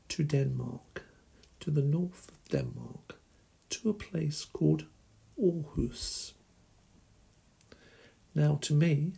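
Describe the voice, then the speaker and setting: Solo podcast host, man from England, middle-aged, slow deliberate delivery, gravelly husky voice. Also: a little high, mellow.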